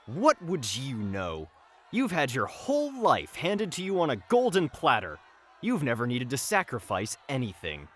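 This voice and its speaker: An actor playing a mocking, theatrical villain who drawls taunts and barks orders in a fantasy audio drama. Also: male voice, medium pitch, wildly swooping pitch, unhurried, a bit bright, husky, exaggerated voice. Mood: bitter scorn. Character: mocking, theatrical young male villain commanding brutish henchmen.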